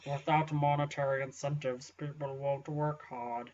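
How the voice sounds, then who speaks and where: A woman voicing a smug, condescending old professor as a quiet villain in a comic story reading. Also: very deep voice, flat delivery, unhurried, recorded from a distance. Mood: smug, patronising. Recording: dull lo-fi audio.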